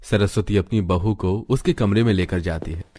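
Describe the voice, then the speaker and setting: Male narrator for animated family stories, lower-pitched, scripted storytelling delivery. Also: moderate pace, dry recording, clean studio sound.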